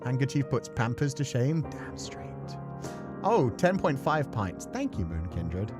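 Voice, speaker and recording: A man's voice: mid-range voice, big pitch swings, a little slow. A man livestreaming in a casual, rambling, thoughtful way, swearing now and then as he chats with viewers. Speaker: streamer and voice actor who plays visual novels. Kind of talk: casual livestream chat, reading and answering viewer comments. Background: music.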